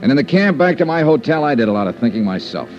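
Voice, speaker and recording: A man acting as a hardboiled insurance investigator from a fifties radio mystery. He probes and narrates in a clipped, sardonic way, at a medium pitch. The old recording is dull, with music in it. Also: big pitch swings, quick pace, a little mellow, natural voice. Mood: brisk narrating. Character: wry, tough, probing male insurance investigator.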